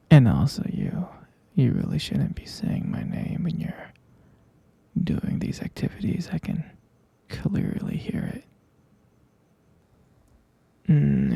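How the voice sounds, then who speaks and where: A man playing a mature stepfather in an ASMR roleplay, speaking in a breathy, husky half-whisper with a hesitant, confiding and concerned manner. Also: on the high side, dark tone, no room sound, full character voice.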